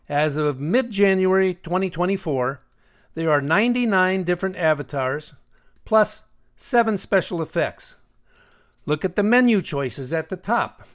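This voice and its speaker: A man narrating a screencast tutorial as the host, explaining deliberately and with pointed emphasis. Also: high-pitched. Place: voice-over for a screen recording.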